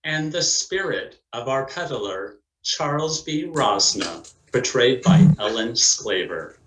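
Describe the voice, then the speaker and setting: Guy doing formal scripted narration, medium pitch, roomy echo, measured like an event announcer. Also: very animated, fairly bright tone, slight background noise. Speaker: narrator and presenter of a community play. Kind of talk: scripted narration and cast introductions.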